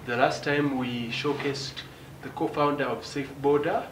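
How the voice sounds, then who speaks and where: Male TV host and interviewer, mid-pitched voice, probing follow-ups; roomy studio sound with clear noise. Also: fairly even intonation, unhurried. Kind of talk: hosting an interview. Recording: echoey studio with background noise.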